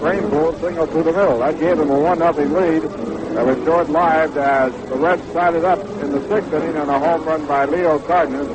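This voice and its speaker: A fairly high-voiced American man recaps a baseball game for radio listeners. He runs his sentences on at length, over the clear noise of a 1960s AM broadcast.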